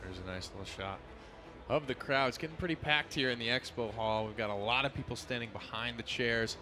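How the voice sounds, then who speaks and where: A man's voice: mid-range voice, a little fast. A man co-casting a live esports match in an expo hall, with casual, wry asides. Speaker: esports caster.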